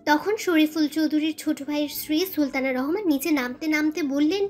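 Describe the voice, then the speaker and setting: High-voiced woman narrating a story. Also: a little fast, darkish tone. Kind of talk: scripted romantic family story narration. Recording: music bed under voice.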